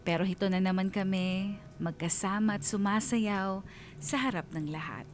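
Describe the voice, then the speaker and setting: A woman's voice: medium pitch, normal speed, slight background noise, close to an everyday voice. A woman playing a sentimental female letter sender who narrates her romance in a reflective way, with a Filipino accent. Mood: fond, reflective. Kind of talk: dramatic first-person radio storytelling.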